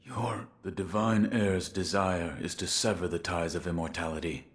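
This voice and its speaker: A man's voice: deep voice, steady tone, moderate pace, lightly acted. A man playing a stoic, dutiful shinobi warrior in a video game, speaking in a terse, grave and restrained way. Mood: flat, inquiring. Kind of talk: dramatic video game dialogue.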